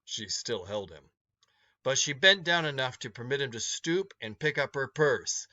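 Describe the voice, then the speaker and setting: A man's voice: medium pitch, lively intonation, normal speed, pushed and bright, muffled recording. A man reading a story aloud to students as a teacher, in a read-along narration.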